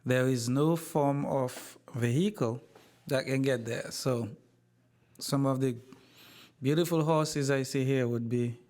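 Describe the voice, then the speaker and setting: A man from Haiti, a university president, telling his life story in an interview in a slow, measured way with frequent pauses and repeated words. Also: mid-range voice, a little mellow.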